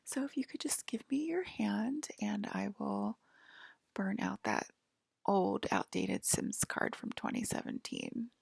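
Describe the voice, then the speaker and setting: A woman whispering softly and soothingly in an improvised ASMR sci-fi roleplay, playing a calm alien abductor tending to a patient. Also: medium pitch, no echo. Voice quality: breathy whisper. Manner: soft, slow, soothing. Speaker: ASMR performer. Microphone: close microphone, dry.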